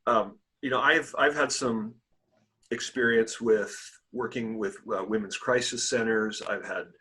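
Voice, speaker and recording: A man hosts a casual podcast interview in a low, somewhat husky voice. He sounds keen and often restarts his sentences as he shapes a question. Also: fairly even intonation, a little slow, bright, forceful tone. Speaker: podcast host.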